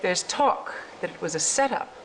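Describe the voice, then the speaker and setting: Actress as a low-voiced, sympathetic, confiding resistance woman. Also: lively intonation, normal speed, a little hiss or hum, close to an everyday voice, poor audio. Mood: sympathetic, wary. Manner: gentle, confiding. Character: sympathetic, discreet female resistance member, quietly suspicious. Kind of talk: video game cutscene acting.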